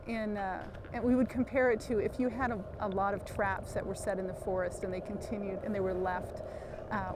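A plain-spoken woman with a mid-pitched voice explains things in a documentary interview. Engine and outdoor noise is clearly heard behind her. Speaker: marine debris organization representative.

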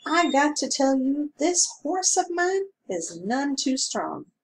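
A woman reads as a grumbling small-town lawman, a middle-aged man with a folksy rural drawl who fills the silence with gab. He sounds higher and louder than the narration around him, and slow-paced. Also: very animated, a little hiss or hum, full character voice, low-quality recording. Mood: sour. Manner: folksy drawling talk, sour then gabby. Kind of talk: audiobook character reading.

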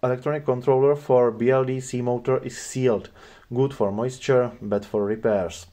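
Mellow-voiced man narrating a product review. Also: medium pitch, fairly even intonation, normal speed.

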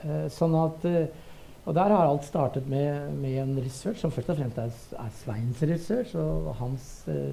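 An older Norwegian man speaks in conversation on a theatre stage. His voice is gravelly and relaxed, and he feels his way haltingly through his sentences, searching for words. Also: on the high side, slight background noise, poor audio. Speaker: theatre director and co-writer. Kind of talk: unscripted onstage conversation.

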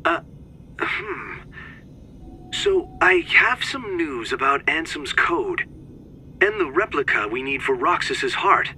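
An actor playing an earnest young male researcher, speaking in measured, formal phrases in a video game cutscene. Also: a little high, huge pitch swings, sharp tone, a little hiss or hum, full character voice. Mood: polite, businesslike. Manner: measured, precise, formal reading.

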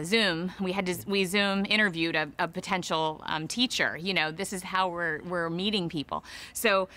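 A woman's voice: lowish voice, sharp tone. A woman in her forties, a school administrator, explaining things in a friendly way, interviewed outside a school entrance. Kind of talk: interview for local TV feature.

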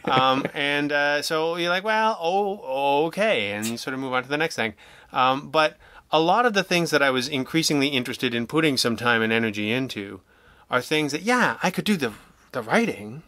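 A man in a casual interview, talking haltingly and self-deprecatingly as he acts out imagined remarks. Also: mid-range voice, pushed and bright, clear, resonant tone, dry recording. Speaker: guest, audio drama writer and producer.

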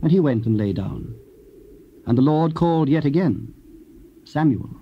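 A man's voice: a little high, expressive, measured pace, breathy edge. A man narrating scripture in a solemn, scripted style. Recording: dull, faintly noisy old cassette.

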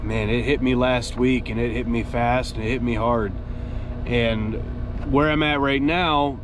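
A man who drives a truck vlogs casually from inside his cab, sounding congested with a sore throat and talking in a halting, rambling way. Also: medium pitch, steady tone. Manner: halting, rambling delivery with many fillers. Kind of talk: casual vlog chat. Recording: clear background noise.

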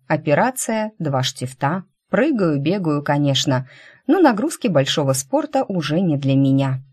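A woman reading fiction as an audiobook narrator, in a conversational, expressive storytelling manner. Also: sits low, breathy edge. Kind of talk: scripted first-person fiction narration.

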